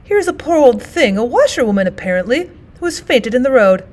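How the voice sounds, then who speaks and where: A well-bred storybook gentleman out motoring, as a woman reader plays him. He is sympathetic and solicitous, with polite exclamations and a lightly raised pitch. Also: very animated, a little fast. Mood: concerned, sympathetic.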